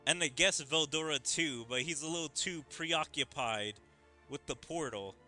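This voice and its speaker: A man giving commentary on a game story in an animated way with drawn-out phrasing. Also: on the high side, soft background music. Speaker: gaming content creator. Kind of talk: game story commentary and dialogue read-aloud.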